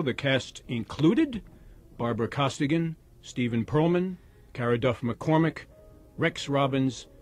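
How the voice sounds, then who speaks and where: A man reading the closing credits of a radio drama as its announcer. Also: mid-range voice.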